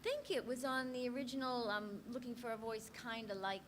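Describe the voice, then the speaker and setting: A woman's voice: on the high side, fairly quick. A woman from South Africa, a voice actress on a convention panel, chatting in a self-deprecating way and laughing often. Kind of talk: casual panel chat. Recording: noisy convention hall mic.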